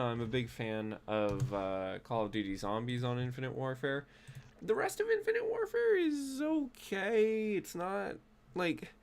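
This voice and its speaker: Stuffy-nosed man chatting while gaming. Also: medium pitch. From United States. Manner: rambling, many fillers and restarts. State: stuffy nose. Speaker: streamer. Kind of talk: casual gaming stream chat.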